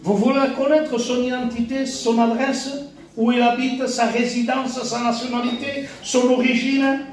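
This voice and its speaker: High-voiced preacher in an echoey hall. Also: male voice, level tone, unhurried. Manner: emphatic, repeats phrases, swings from shouts to hushed asides. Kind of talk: sermon. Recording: very echoey hall, mic from far off.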